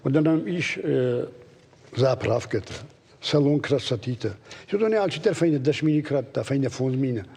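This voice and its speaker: Gravelly, dark-voiced man in an echoey space. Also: medium pitch, expressive, normal speed. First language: Ossetian. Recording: long reverb, echoey space.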